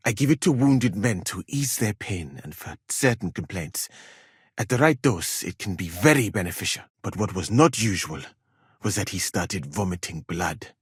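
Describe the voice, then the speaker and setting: A man's voice: fairly low pitch, breathy edge, dry recording, clean studio sound, full character voice. A man playing a proud, exacting medieval physician in a video game, speaking formally and precisely. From Mali. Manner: formal, measured, precise wording. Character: proud, exacting foreign male physician in a medieval war camp. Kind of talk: dramatic video game dialogue.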